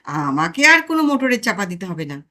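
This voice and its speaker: A woman voicing a boastful, know-it-all elder brother in a comic audio story, loud and scolding. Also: medium pitch, very animated, fairly quick, voice pushed down.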